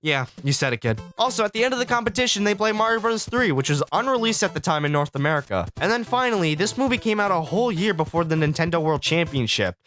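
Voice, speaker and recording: A man's voice: sits high, very animated, fast talker, hardly any pauses, a bit bright, soft background music. A young man giving casual, scripted video review commentary in a sarcastic, sweary manner. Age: young adult. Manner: sarcastic, profane. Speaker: video essay host, film student.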